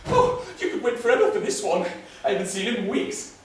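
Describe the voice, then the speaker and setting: Man playing a high-voiced, nervous, gullible lad. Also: very animated, brisk, far from the mic. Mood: anxious. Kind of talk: comedy sketch acting. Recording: very echoey room, off mic, faint noise.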